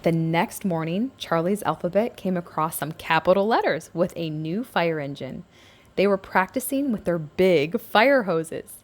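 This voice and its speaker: A woman's voice: fairly low pitch, expressive, measured pace. A woman narrating a scripted picture book aloud for young children, as a storybook reader.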